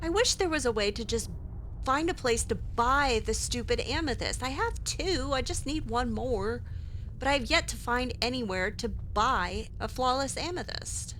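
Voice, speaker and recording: A woman's voice: fairly high pitch, animated, normal speed, fairly bright tone, a little hiss or hum, poor audio. A woman giving in-character commentary as she plays a video game, with wry, exasperated asides. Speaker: gaming video host playing in character.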